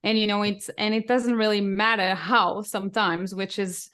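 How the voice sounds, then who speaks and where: A woman's voice: mid-range voice, level tone, normal speed, a bit bright. A woman from Portugal, Portuguese as her first language, speaking as a guest on a podcast in enthusiastic casual chat. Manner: enthusiastic, laughs often.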